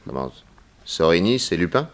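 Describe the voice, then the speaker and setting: An actor playing a shrewd, unflappable private detective, probing and dryly confident, in 1960s French radio drama style. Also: male voice, low-pitched, very animated, normal speed.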